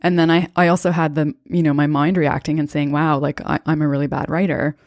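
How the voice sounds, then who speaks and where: Woman in her 30s, low voice, calm reflective podcast talk, dry close sound. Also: fairly quick, dry recording. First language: bilingual English and Estonian. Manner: calm, thoughtful, conversational with occasional fillers. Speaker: podcast host and coach. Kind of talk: reflective personal storytelling.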